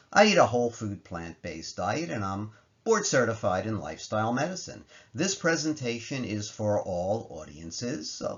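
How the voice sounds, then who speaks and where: A man's voice: fairly low pitch, a bit bright. A middle-aged man, a medical professor, narrating a slide lecture in plain language with emphatic phrasing and rhetorical questions, on a slightly dull recording. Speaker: medical professor giving a presentation.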